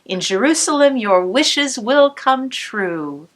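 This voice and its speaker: Storybook grandmother, old and calm, slow soothing promises, lively rise and fall, read by a woman. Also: high voice, pitched above the performer's own voice. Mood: reassuring.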